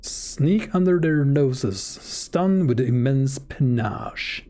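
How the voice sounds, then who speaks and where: A man whose native language is Swedish chats casually while playing a game live. His delivery is slow and warm, and he jokes around relaxedly. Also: medium pitch. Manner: relaxed and joking, with frequent fillers. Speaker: streamer. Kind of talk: casual live gameplay commentary.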